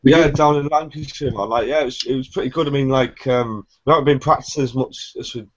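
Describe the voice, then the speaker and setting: A man's voice: mid-range voice, fast. A man guesting on a casual chat, enthusiastic and swearing freely, calling everyone mate. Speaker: band member guest. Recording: dull lo-fi sound.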